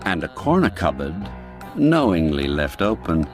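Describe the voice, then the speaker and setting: A man narrating a classic literary tale for radio in a measured, expressive, theatrical way. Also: a bass voice, soft background music. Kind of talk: dramatized literary storytelling for radio.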